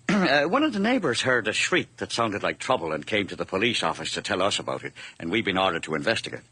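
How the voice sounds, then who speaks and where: Friendly talky cop in a radio play, low husky voice. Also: male voice, very animated, fast, bright, forceful tone, no echo, full character voice. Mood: easygoing. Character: chatty, easygoing male police officer.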